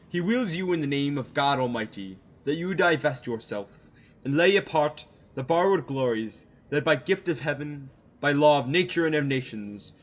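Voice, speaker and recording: A man playing a proud English duke, an envoy delivering a king's threats in declamatory, deliberate Shakespearean radio theater. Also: fairly high pitch. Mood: stern, formal. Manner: declamatory, deliberate, dramatic pauses. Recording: dull lo-fi amateur audio.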